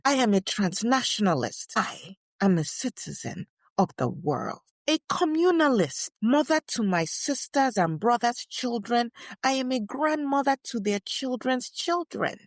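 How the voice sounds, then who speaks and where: A woman playing a dignified, proud elderly woman writer and scholar, delivering a deliberate first-person monologue with emphatic repetition. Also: on the high side, no room sound, studio quality, pitched above the performer's own voice. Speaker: co-host in character. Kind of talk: first-person dramatic monologue, read aloud.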